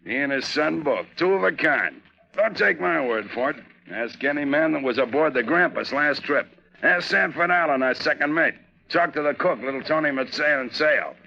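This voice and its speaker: An actor playing a gruff, cynical, hot-tempered veteran sailor, blunt and slangy, in a 1940s radio drama. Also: male voice, on the high side, lively intonation, moderate pace, sharp tone, heavily acted. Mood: cynical, curt. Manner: blunt, slangy, tough-guy. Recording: muffled 1940s radio recording.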